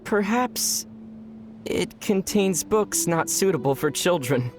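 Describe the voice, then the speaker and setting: An actor playing a calm, dry young male traveler from other worlds in a video game. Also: high voice, takes it slowly. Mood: wry. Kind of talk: video game dub dialogue. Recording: game audio with soft music behind.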